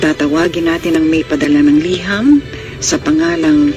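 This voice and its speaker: A man with a Filipino accent hosting a radio drama, narrating in formal, florid, deliberate phrasing. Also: on the high side. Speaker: host of a radio drama anthology. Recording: radio broadcast with music behind. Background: music.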